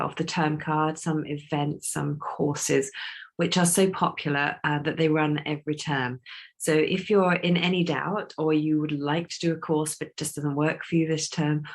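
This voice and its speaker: Woman, croaky with a cold, measured explanatory welcome talk. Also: deep voice. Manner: measured, explanatory, with frequent ums and restarts. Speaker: researcher development coordinator.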